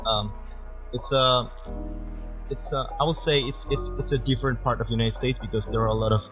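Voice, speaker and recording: A young man with an Indonesian accent and a deep bass voice, chatting casually as a guest and often repeating words while he thinks. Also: steady tone, fairly quick, over music. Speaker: interviewee. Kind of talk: casual conversation.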